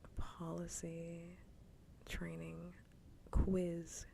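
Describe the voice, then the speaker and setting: A woman streams ASMR in a soft whisper, low and gravelly at the edges. She talks casually and circles back over her phrases with little melodic movement. The close microphone carries a faint rumble behind her. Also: completely flat delivery, extremely slow. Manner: casual, repetitive, mildly swearing. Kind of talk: whispered ASMR livestream chat.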